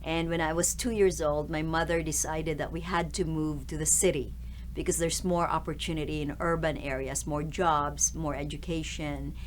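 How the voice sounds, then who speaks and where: A middle-aged woman from the Philippines, a university president, answering interview questions in a measured, articulate way. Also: low voice, steady tone, a little hiss or hum.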